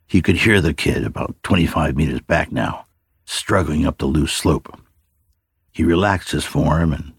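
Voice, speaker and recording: A male narrator with an extremely deep, husky voice and a gravelly creak. He reads dramatic fiction in a measured, brooding way. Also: a bit bright, no room sound, clean studio sound. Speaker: story narrator.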